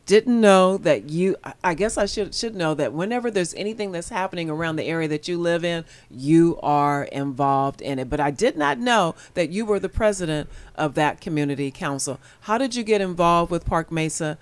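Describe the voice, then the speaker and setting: A woman's voice: on the low side, lively intonation, normal speed, hardly any pauses, no echo. A woman hosting a radio talk show, asking her guest questions in a friendly, conversational way.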